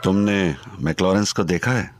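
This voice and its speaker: Man voicing a crime boss, very low voice, stern, threatening, audio drama, faint music behind. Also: normal speed, lightly acted. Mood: menacing. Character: menacing Chinese crime boss, older man.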